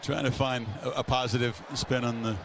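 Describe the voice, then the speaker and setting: Male football announcer over clear background noise. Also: mid-range voice, little pitch movement, moderate pace. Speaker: television play-by-play announcer. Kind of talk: live football commentary. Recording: broadcast audio over loud stadium noise.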